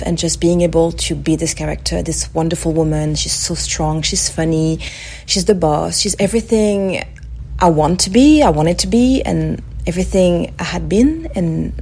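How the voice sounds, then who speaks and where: Chatty French-accented woman, husky and low. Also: darkish tone. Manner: chatty, laughs often, many "like" fillers. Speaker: actress, interview guest. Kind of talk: radio interview chat.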